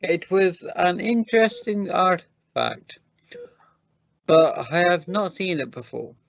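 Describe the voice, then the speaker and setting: A man playing a defensive, accusing scientist in an amateur radio drama, speaking in a halting, formal and deliberate way. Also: high-pitched, creaky, dry recording, muffled recording, exaggerated voice. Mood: guarded. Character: defensive, accusing male scientist on a space mission. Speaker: amateur radio play actor.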